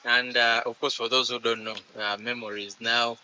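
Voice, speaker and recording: Bright-voiced male host, hesitant. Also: mid-range voice, level tone, dull audio, slight background noise. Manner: slow, many "uh" fillers. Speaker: show host. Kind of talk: talk show hosting.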